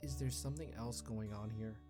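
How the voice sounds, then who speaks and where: A man's voice: lowish voice, brisk, over music, natural voice. A man playing an earnest, loyal and determined young hero in a fantasy visual novel. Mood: concerned.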